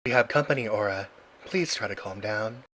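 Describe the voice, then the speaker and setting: A man's voice: fairly low pitch, moderate pace, pushed and bright, a little hiss or hum, rough recording. A man in a game fan dub playing a cool, curt prosecutor with dry, cutting sarcasm. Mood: curt, dismissive. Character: cool, sardonic male prosecutor prisoner, curt and composed.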